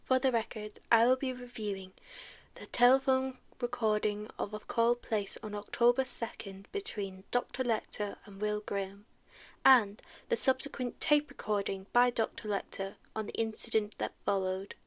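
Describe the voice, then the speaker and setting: A woman's voice: mid-range voice, steady tone, normal speed, lightly acted. A woman playing an investigator who records an official case review in an audio drama, formal and matter-of-fact. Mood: formal, detached. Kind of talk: scripted audio drama narration.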